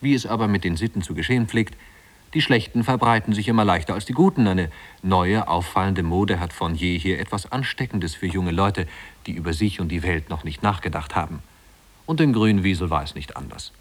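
A man narrating a literary tale in a 1950s radio drama, in a measured, wry storytelling manner. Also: low-pitched.

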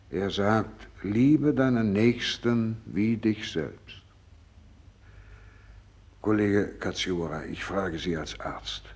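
An actor in a 1960s radio drama playing a gravely ill, devout doctor, husky and creaky, speaking slowly and solemnly with resigned fervour. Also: male voice, sits low, full character voice. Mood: earnest, probing. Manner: slow, solemn, resigned, fervent. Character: gravely ill, devout, selfless male Japanese doctor nearing death.

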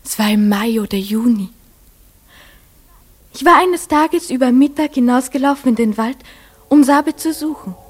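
An actress playing a dreamy, trusting young girl in a radio play, recounting and acting out memories in a soft, breathy voice. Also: high-pitched, moderate pace, pauses often. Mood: wistful remembering.

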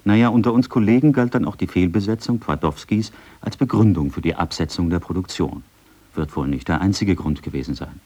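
Dark, low-voiced man reading accounts. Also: brisk.

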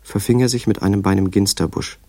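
Measured storyteller: low, gravelly, matter-of-fact, dry close studio sound, radio drama. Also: male voice, fairly even intonation, a little mellow, no room sound. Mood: measured, factual. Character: measured male storyteller, a writer recounting another man's life. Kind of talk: scripted literary narration in a radio drama.

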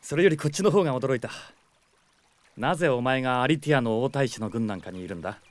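A man's voice: mid-range voice, animated, fast talker, no room sound, lightly acted. An actor playing a proud, stubborn young male soldier in a Japanese radio drama, speaking firmly and formally. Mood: surprised, conversational. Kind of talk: Japanese anime-style radio drama acting.

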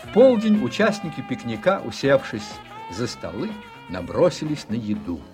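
A man narrating a radio drama from a script, in an expressive, measured storytelling manner. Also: mid-range voice, music in the background.

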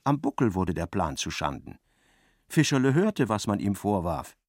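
A man narrating a literary radio drama as a wry, intense storyteller, expressive and precise, with a creaky voice. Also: fairly low pitch, moderate pace, no echo, studio quality. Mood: dry, dramatic.

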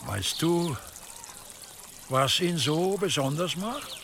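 An actor playing an imperious, slyly menacing church dignitary in a medieval radio drama, speaking deliberately and with condescending insinuation. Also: male voice, on the high side, lively intonation, a bit bright, slight background noise, full character voice. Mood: musing, leading. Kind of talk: German radio drama acting in a medieval setting.

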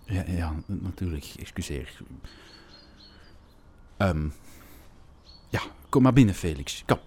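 A Flemish man plays an indignant, flustered father in a radio comedy. He stammers and repeats himself in colloquial speech with animated pitch. There is faint noise in the recording. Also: slow. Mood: sheepish. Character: flustered, indignant father splitting up with his partner. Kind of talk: naturalistic radio comedy acting.

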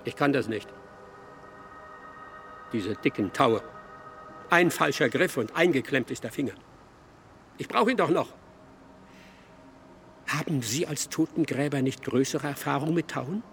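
A man's voice: mid-range voice, measured pace, pauses often, a bit bright, a little hiss or hum. A man acting in a German radio drama as an anxious, self-serving, fussy delegate who talks urgently. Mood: fretful, pleading.

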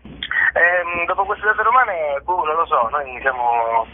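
A man heard over a thin, telephone-like connection, with a slightly husky voice. He is a stage actor in a casual radio interview. He talks with enthusiasm, often stopping and doubling back on words. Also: fairly high pitch, slow. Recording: narrow phone-like line.

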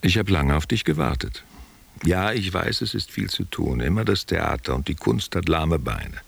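An old stage actor in a literary radio play, with a bottomless, heavily creaking voice that moves in wide theatrical swings. He is world-weary yet tender, rasping out rhetorical questions and broodings. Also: low voice, expressive, moderate pace, soft background music, exaggerated voice. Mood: tender, probing. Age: older. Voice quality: raspy, gravelly.

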